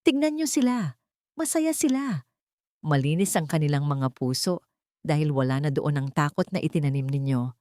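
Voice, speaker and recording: A woman voicing a gentle, forgiving young woman in an audiobook, soft-spoken and earnest. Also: sits low, animated, moderate pace, dry recording, clean studio sound. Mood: calm forgiveness.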